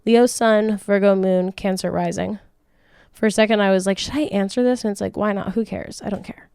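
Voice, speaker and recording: A woman's voice: medium pitch, fairly even intonation, a little fast, gravelly, darkish tone, clean tone, no echo, studio quality. A woman in her late twenties hosting a casual podcast, answering listener questions in a frank, chatty way with frequent swearing, laughs and restarts. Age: late 20s. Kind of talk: casual chat.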